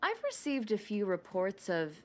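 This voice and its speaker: A woman's voice: mid-range voice, wildly swooping pitch, normal speed, a little hiss or hum. A woman playing a kindly, helpful town hall clerk in a radio play, friendly, hesitant and reassuring. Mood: chatty.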